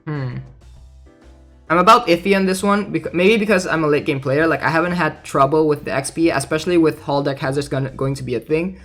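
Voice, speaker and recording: A man with a slightly high voice talks as a game streamer over music. He is casual and hesitant, thinking aloud as he reads and comments. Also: brisk, fairly bright tone. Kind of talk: casual livestream commentary, reading aloud and reacting.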